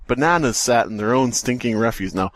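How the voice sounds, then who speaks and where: A man's voice: mid-range voice, lively intonation, a little fast, slight background noise. An irreverent, sweary man co-hosting a podcast, reading a horror story aloud and joking around it. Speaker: podcast co-host.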